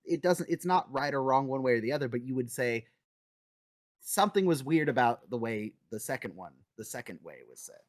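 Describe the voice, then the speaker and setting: Dry-sounding podcast host. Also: male voice, mid-range voice, a little fast, no room sound. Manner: relaxed, conversational, trails off quietly. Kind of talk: casual podcast chat.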